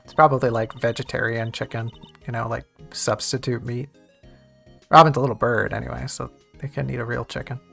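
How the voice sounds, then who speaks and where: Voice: medium pitch, steady tone, brisk, creaky, a bit bright, faint music behind. A game streamer chatting casually and jokingly with viewers during a let's-play. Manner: chatty, jokey, talks to chat.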